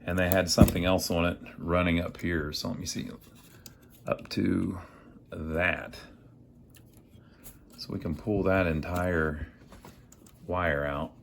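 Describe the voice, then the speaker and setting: Low-voiced man talking through a repair. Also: little pitch movement, slow pace, creaky, pauses often, background noise. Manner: folksy, thinking aloud as he works. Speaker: host of an amateur radio repair channel. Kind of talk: casual hands-on repair tutorial narration.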